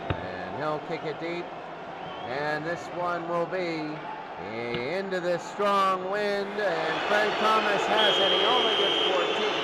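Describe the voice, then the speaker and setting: A man doing live play-by-play football commentary and recaps in short, clipped, repetitive phrases. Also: sits high. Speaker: play-by-play announcer. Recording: noisy, crowd roaring behind the voice. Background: loud stadium crowd noise.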